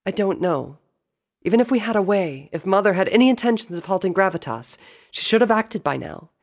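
A man doing a higher-pitched, regal pony princess. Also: expressive, brisk. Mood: resolute. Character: regal, earnest pony princess, anxious and indignant.

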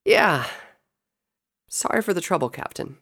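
Young male pony, roguish, casual, wry, resigned, gravelly, storybook soldier acted by a narrator. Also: mid-range voice, big pitch swings, unhurried, sharp tone, pitched above the performer's own voice, full character voice.

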